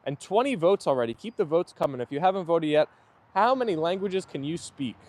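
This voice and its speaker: Man, friendly stream host, slightly high voice, loose chatty delivery outside. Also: animated, brisk, a little mellow. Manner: friendly, chatty, thanks viewers often. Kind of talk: casual live chat reading viewer comments.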